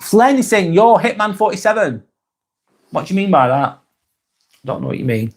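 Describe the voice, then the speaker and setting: A man from Lancashire with a Lancashire accent, hosting a casual live stream and reading viewer comments aloud in a soft, hesitant way. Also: high voice, big pitch swings, moderate pace. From Lancashire, England.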